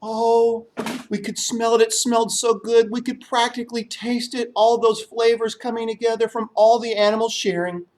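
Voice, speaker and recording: A man's voice: very high voice, level tone, normal speed, a little mellow, slight background noise. A man from the United States telling a story to young children as a puppet show host.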